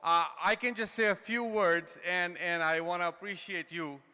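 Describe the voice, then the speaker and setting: A man's voice: high-pitched, steady tone, moderate pace, bright, forceful tone, clear, resonant tone. A man in his fifties, a university administrator, giving short impromptu remarks on stage, hesitant and repeating his words. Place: large event hall on stage. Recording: reverberant live hall.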